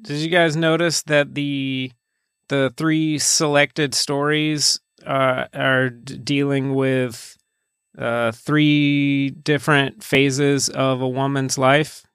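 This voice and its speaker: A man's voice: medium pitch, flat delivery, slow, a bit bright, smooth, dry recording, studio quality. A man co-hosting a podcast, discussing a film casually in a hesitant, droll way. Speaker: podcast co-host.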